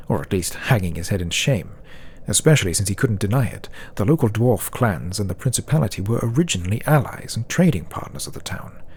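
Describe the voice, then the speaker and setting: A man reading a scripted fantasy story as narrator, with dramatic, deliberate phrasing. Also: lowish voice, a little mellow, a little hiss or hum. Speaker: audiobook narrator.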